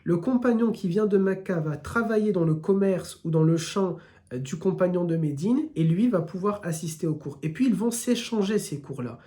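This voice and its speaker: Warm-voiced southern French man teaching. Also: sits high, normal speed. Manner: builds points through repeated lists, speaks straight to listeners. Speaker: Islamic teacher. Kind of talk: live religious lesson.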